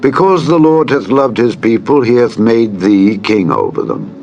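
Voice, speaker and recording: A man's voice: mid-range voice, lively intonation, a little slow, darkish tone, highs cut off, faint music behind. A man voicing a dignified king who formally declaims a royal letter in a scripture drama. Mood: stately.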